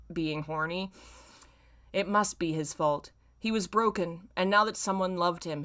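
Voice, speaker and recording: A woman reading fan fiction aloud in a dramatic way, breaking off for casual, self-mocking asides. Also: lowish voice.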